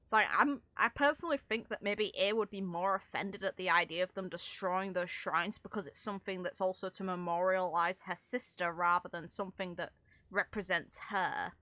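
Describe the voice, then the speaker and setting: A woman's voice: fairly low pitch, normal speed, bright, forceful tone, dry recording. A woman talking casually and analytically through game lore, with opinionated, rambling asides. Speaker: content creator commentating on a game.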